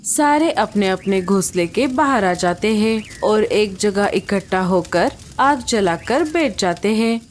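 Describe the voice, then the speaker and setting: Calm, unhurried woman narrator. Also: medium pitch, a little hiss or hum. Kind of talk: scripted storybook narration.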